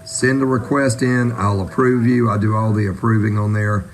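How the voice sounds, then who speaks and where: Low-voiced man running a radio net. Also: level tone, normal speed, slight background noise. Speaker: ham radio net controller. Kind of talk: casual radio net hosting.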